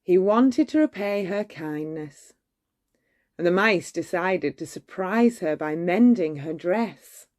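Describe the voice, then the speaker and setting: A woman narrating a children's bedtime story in a measured, expressive storytelling manner. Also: lowish voice. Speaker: storybook reader.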